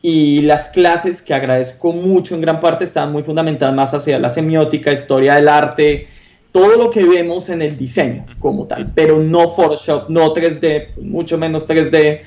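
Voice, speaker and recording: A man's voice: on the high side, fairly even intonation, normal speed, a little mellow, dull audio. A Colombian man in his thirties speaking casually and enthusiastically as an interview guest, emphatic and often tagging phrases with a short questioning check. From Popayán, southern Colombia. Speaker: interview guest, concept artist.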